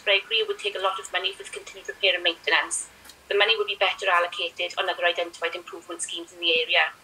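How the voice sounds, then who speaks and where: Fast Welsh woman, bright firm delivery, distant video-call mic. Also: medium pitch, slight background noise. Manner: brisk, firm reading. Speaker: member of the public. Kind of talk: reading statement at council meeting. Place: room at home, indoors.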